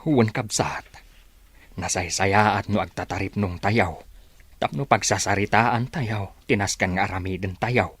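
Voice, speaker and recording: Low-voiced troubled village man played by an actor. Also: unhurried, fairly bright tone, husky, no echo. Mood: resigned. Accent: Ilocano. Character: troubled, resigned male villager. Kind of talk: Ilocano radio drama acting.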